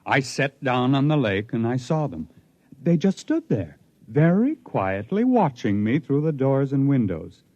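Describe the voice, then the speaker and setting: A man's voice: medium pitch, mellow, no room sound. An actor playing a proud, intense stranded spaceman telling his story in a 1950s radio drama, with emphatic, dramatic delivery. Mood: tense, horrified. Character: stranded human spaceman recounting his life among aliens, proud, intense. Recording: muffled vintage 1950s radio recording.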